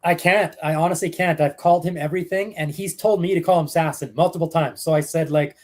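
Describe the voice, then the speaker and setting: Chatty Canadian man, slightly high voice. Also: fairly even intonation, fast, a little mellow. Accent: Canadian English. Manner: chatty storyteller, many fillers and restarts. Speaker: hockey play-by-play broadcaster, guest. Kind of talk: casual interview answers.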